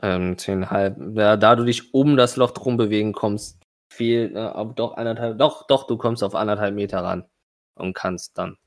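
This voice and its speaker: A man's voice: fairly low pitch, fairly even intonation, moderate pace, no room sound, clean studio sound. A man running a tabletop game, improvising narration and rulings, often searching for his words. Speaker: game master.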